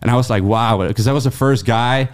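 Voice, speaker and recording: A man's voice: medium pitch, a little fast. A man in his thirties with a Dutch accent, chatting casually as a podcast guest, rambling with fillers and restarts. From Netherlands. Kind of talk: casual interview chat.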